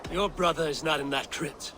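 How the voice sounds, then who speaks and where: An actor playing a stern, shrewd Viking warrior, speaking in a measured, blunt, probing way. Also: male voice, fairly high pitch, steady tone, pushed and bright. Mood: accusing. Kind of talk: dramatic video game acting.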